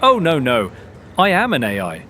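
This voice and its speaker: Playful robot greeter, acted by a man, lively intonation. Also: medium pitch, moderate pace, a little hiss or hum. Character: joking, polite male AI immigration greeter robot. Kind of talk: audio play acting.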